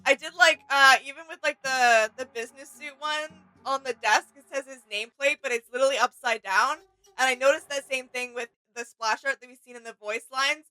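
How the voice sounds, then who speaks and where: A woman's voice: sits high, brisk, pushed and bright, low-quality recording. A woman chatting casually as co-host of a reaction video.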